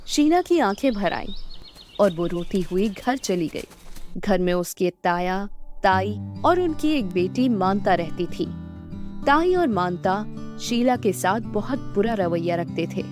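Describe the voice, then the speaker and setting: A woman's voice: medium pitch, animated, slow, music in the background. A woman reading a scripted children's story as the storyteller.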